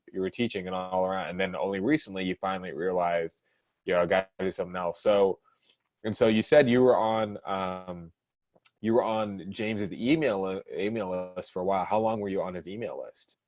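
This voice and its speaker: A man's voice: deep voice, steady tone, a little fast, no room sound. A man hosting an interview, upbeat and casual, stumbling and repeating words. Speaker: interview host.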